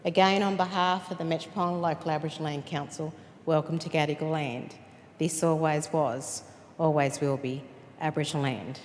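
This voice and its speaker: A woman's voice: sits low, fairly even intonation, a little fast. A woman giving a ceremonial welcome address as she opens a gathering. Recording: hall reverb with clear background noise.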